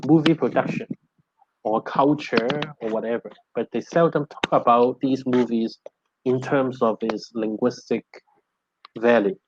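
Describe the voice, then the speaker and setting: A man's voice: mid-range voice, fairly even intonation, a little slow, background noise. A middle-aged man with a Hong Kong Cantonese accent, a native Cantonese speaker, explains as a professor answering questions, often repeating words as he goes. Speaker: linguistics professor, guest lecturer. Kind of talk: answering questions after an academic talk. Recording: dull, band-limited online call audio.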